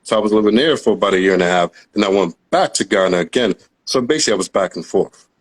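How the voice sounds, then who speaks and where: Low-voiced american guy, accent half west african now, lecturing on a live stream. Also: fast. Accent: mixed American and West African. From United States. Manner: earnest, lecturing, long explanations. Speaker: host. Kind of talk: casual live-stream talk.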